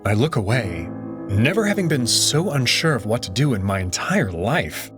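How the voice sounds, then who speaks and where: A man narrating a visual novel in first person with an expressive, conversational delivery. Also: mid-range voice, moderate pace, music in the background.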